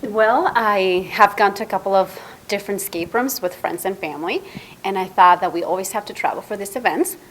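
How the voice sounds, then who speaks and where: A woman's voice: medium pitch, brisk, a little hiss or hum, rough recording. A woman on a library's staff giving unscripted interview answers.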